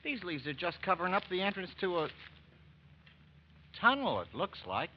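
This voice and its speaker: An actor playing an eager, curious young American soldier in excitable, folksy 1940s radio drama style. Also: male voice, high-pitched, normal speed. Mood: eager, coaxing. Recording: muffled, noisy vintage radio broadcast recording.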